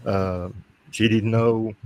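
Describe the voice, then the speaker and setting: A man from Belgium with a French-speaking Belgian accent, hosting a casual video-call chat, hesitant with fillers and quick to laugh. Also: fairly low pitch, fairly even intonation. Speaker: host. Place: home, streamed video call.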